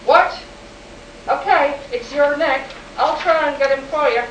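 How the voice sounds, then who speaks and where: A woman acts a brusque, grudging government official in a sci-fi radio play. Her voice is high, picked up from a distance in an echoey room with faint noise. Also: unhurried, a bit bright, highs cut off. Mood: brusque, reluctant. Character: Ames, brusque government security undersecretary, reluctant official. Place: live convention performance, recorded from the room.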